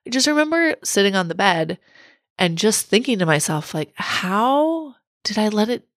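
Raspy-voiced woman podcast host. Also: medium pitch, no echo, studio quality. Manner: earnest, reflective coaching tone. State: raspy from a cold. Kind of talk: solo podcast talk.

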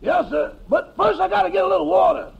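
Put-upon wisecracking valet, extremely raspy creak, on a dull vintage radio recording. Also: male voice, extremely high pitch, quick pace, background noise, exaggerated voice. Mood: wry. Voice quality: gravelly. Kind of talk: 1950s radio comedy acting. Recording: muffled 1950s radio recording.

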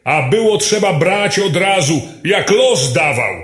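A greedy, smug tycoon villain in a radio play, high and theatrical, lingering over every gloat. The voice swoops slowly in a roomy echo with music faintly behind. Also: male voice, lively intonation, a bit bright. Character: greedy, gloating male tycoon villain, mock-sympathetic despot. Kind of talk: amateur radio play acting.

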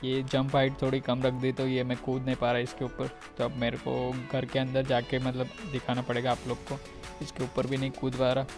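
An Indian man commentates on his own gameplay in an informal, chatty style. His delivery stays very even, with hardly any rise or fall, at an average male pitch. Light music plays behind him as he addresses his viewers. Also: brisk. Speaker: gaming video creator. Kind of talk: casual video game commentary.

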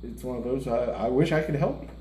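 A man's voice: mid-range voice, fast, warm tone. A middle-aged man co-hosting a music reaction show, talking casually with blunt, self-mocking humor while seated at a table. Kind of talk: casual chat. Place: indoor room, seated at a table before a lit curtain. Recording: roomy room with faint hiss.

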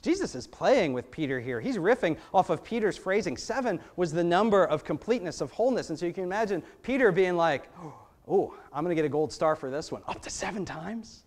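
A man's voice: high-pitched, expressive, brisk. A man in his thirties with an American accent, preaching a sermon from a church pulpit microphone, conversational and full of rhetorical questions. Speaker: pastor. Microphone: gooseneck pulpit microphone.

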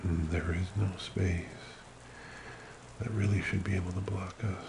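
A man speaking very softly as an ASMR host, slow and soothing, often slipping into a whisper in a creaky murmur. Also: low voice, flat delivery, noisy background. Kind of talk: soft-spoken ASMR personal attention, slow guided relaxation.

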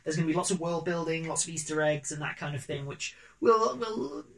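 A British man in his thirties giving a casual, jokey crowdfunding pitch as a podcast producer. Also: animated, a little fast, vocal fry, a little hiss or hum. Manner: jokey, informal, bantering. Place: small living room, on a sofa.

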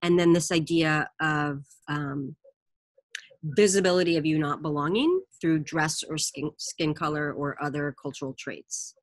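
Panel moderator, woman, lowish voice, dry close sound over a video call. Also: unhurried, no room sound.

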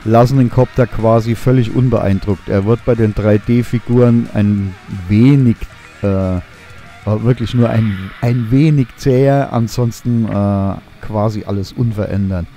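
A young man talks through a drone review in a mellow, warm voice. He sounds keen but easygoing, stopping often on little hesitation sounds. Soft guitar music plays quietly underneath. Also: on the low side, a little slow. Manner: relaxed, enthusiastic, many fillers. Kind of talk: voice-over product review.

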